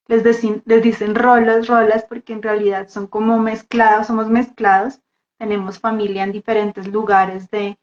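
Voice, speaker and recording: A woman with a Bogotá Colombian accent introduces herself casually and reflectively, halting and repeating words as she goes. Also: mid-range voice, little pitch movement. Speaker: guest dance therapist. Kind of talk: casual personal introduction in a live talk. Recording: echoey room, dull muffled audio.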